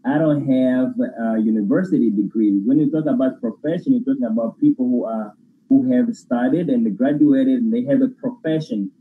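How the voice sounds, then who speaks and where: A man with an Angolan Portuguese accent, whose first language is Portuguese, talks as a grateful, effusive guest. His voice comes over a thin, phone-like line with a faint hiss behind it. He sits at a middle pitch for a man. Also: normal speed. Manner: effusive, grateful, laughs while talking. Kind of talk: casual interview chat.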